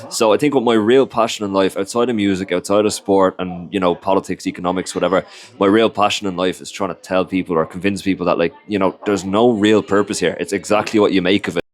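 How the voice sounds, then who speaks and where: A man's voice: sits low, fairly even intonation, quick pace. A young Irish man, whose first language is Irish, chatting casually in an interview and rambling with lots of fillers. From Ireland. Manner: rambling, heavy on "you know" and "like" fillers.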